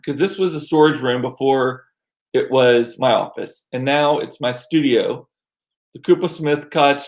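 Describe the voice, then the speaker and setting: A middle-aged American man chatting casually as a livestream host, rambling along with self-deprecating asides and animated, repeated words for emphasis. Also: medium pitch. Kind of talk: casual chat to live viewers. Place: small home room used as studio.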